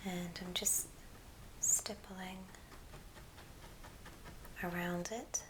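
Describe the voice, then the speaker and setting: A woman whispers an unhurried, step-by-step craft tutorial in a breathy ASMR style, as the host demonstrating it. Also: on the low side, steady tone. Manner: unhurried, murmured step-by-step narration. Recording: hissy background noise with small clicks.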